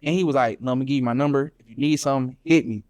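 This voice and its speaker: A man's voice: mid-range voice, rapid-fire, darkish tone, no echo. A young man from Atlanta, a podcast guest casually telling travel stories, full of slang and "you know what I'm saying". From Atlanta, Georgia. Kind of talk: casual storytelling chat.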